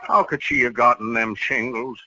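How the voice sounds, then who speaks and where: An actor playing a touchy, pompous veterinarian in a 1940s radio comedy. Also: male voice, mid-range voice, steady tone, moderate pace, close to an everyday voice. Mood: touchy, fretful. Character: touchy, pompous male dog doctor. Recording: very noisy, dull old radio recording.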